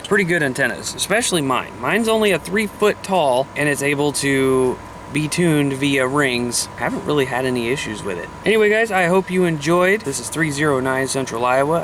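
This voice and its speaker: A man's voice: medium pitch, animated, moderate pace. A man, chatting casually and folksily to viewers on a handheld camera in and around a car. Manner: folksy, colloquial. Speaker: amateur CB radio vlogger. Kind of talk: casual vlog talk to viewers. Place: in a parked car and outdoors beside it. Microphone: handheld camera at arm's length. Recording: clearly noisy handheld camera audio.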